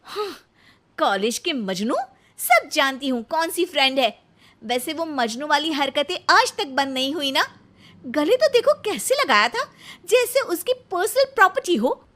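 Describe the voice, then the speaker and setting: Woman playing a high-pitched, jealous young cartoon wife. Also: big pitch swings, a little slow, fairly bright tone, full character voice. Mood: jealous anger. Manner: nagging, scolding, dramatic. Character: jealous, possessive young wife, feisty and sulky.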